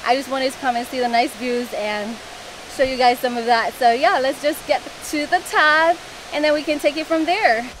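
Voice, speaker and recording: A woman's voice: sits high, fairly bright tone, rough recording. A woman in her thirties vlogging to a handheld camera on a forest stairway, out of breath from the climb and chatting between sighs. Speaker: travel vlogger. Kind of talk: casual vlog talk to camera. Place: outdoor forest stairway near a waterfall. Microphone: handheld camera at arm's length. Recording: outdoor camera audio with waterfall noise. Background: rushing waterfall noise.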